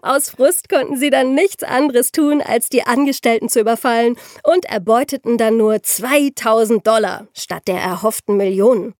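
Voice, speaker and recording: A woman with a fairly high voice hosts a true-crime podcast in a dry, close studio sound. She tells her material conversationally, as an animated storyteller who speaks straight to the listeners. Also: normal speed, few pauses, no room sound. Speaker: podcast host.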